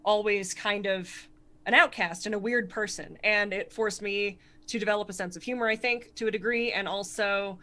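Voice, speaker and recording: Fast-talking woman with a Connecticut accent. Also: mid-range voice, steady tone, sharp tone. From Connecticut, USA. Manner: fast, articulate, self-deprecating, laughs often. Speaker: stand-up comedian, guest. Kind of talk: casual interview.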